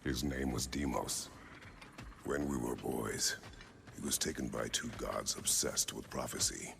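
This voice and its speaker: Casting: guilt-burdened, battle-worn game warrior; extremely low, heavily gravelled voice, terse formal delivery, noisy scene. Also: male voice, heavily acted. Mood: solemn. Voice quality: deep, gravelly. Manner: terse, formal, measured, weighty phrasing. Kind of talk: cinematic video game acting.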